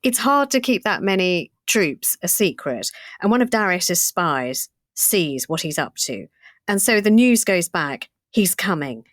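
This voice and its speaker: Lower-voiced woman co-hosting, curious. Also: unhurried, no room sound, clean studio sound. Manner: curious, lively questioner. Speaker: history podcast co-host. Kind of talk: conversational history storytelling.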